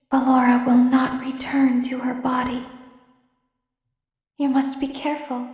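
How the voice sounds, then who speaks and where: A woman voicing a calm, manipulative animatronic robot girl from a game, speaking in slow, measured tones that often drop to hushed whispers. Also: sits high. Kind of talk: fan voice acting of game lines. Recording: roomy echo.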